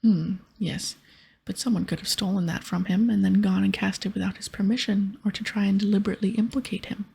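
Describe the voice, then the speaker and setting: Woman as doubtful guild wizard in a fantasy game, breathy, whispery, dry reasoning. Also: medium pitch, fairly even intonation, a little fast, mellow. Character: skeptical wizard guild official, dismissive and reasoning.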